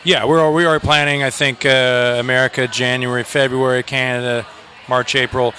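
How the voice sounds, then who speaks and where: A man's voice: mid-range voice, flat delivery, normal speed, pushed and bright, a little hiss or hum. A man in a rock band chatting casually in an outdoor festival interview, joking and rambling with restarts.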